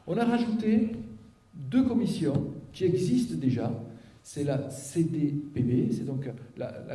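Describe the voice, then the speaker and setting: A man's voice: on the high side, dark tone. A man giving an explanatory public presentation as a local official, step by step, with check-in tags and rhetorical questions. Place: auditorium, public meeting. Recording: echoey auditorium, picked up from a distance.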